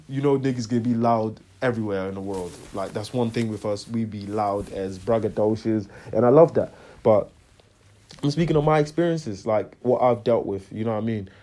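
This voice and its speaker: A young man from Congo, telling a story in a slangy, animated rant and often asking "you know what I'm trying to say". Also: lowish voice, fairly quick. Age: young adult. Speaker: vlogger.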